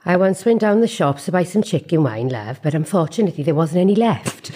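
A woman's voice: fairly low pitch, expressive, fairly quick, warm tone, slightly rough, voice pushed down. A middle-aged woman putting on a Welsh accent to play a chatty woman describing her shopping. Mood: chuffed. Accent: Welsh, put on.

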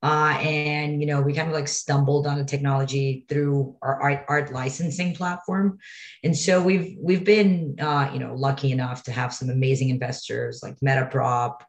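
A woman from Brazil, a startup founder, talking as an interview guest, quick and confident. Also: very deep voice, little pitch movement, roomy sound. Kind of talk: interview conversation.

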